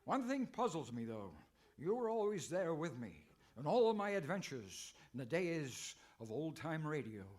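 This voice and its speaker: Radio western lead, male actor: earnest, put-upon American masked hero, slightly raised pitch, comic timing. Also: very animated, moderate pace. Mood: exasperated. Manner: earnest, long-suffering. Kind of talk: comic old-time radio western acting.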